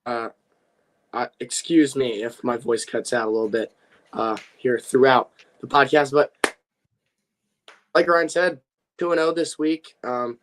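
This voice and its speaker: Young man, sports podcast co-host, slightly worn voice, casual halting recap. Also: medium pitch. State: slightly lost voice after a game.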